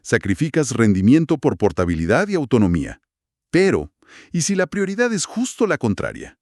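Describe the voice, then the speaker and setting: A man's voice: medium pitch, huge pitch swings, moderate pace, a little mellow, no room sound, clean studio sound. A man co-hosting a conversational explainer, speaking in an emphatic, explanatory way. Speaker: co-host of an audio gear review discussion. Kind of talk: conversational podcast-style explainer.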